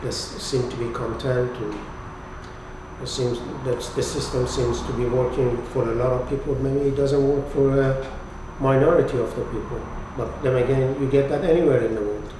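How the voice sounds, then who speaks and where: Male, 50s, Iranian accent, native Persian speaker, reflective documentary interviewee; heavy room echo and clear background noise. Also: medium pitch, fairly even intonation, moderate pace. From Iran. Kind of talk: reflective conversational recollection. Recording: distant mic, very echoey room, clear noise.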